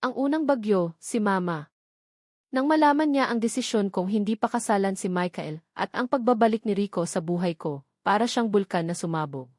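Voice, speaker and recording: A woman's voice: medium pitch, normal speed, darkish tone, no echo, clean studio sound. A woman storyteller giving scripted first-person romance narration in a dramatic, sentimental manner.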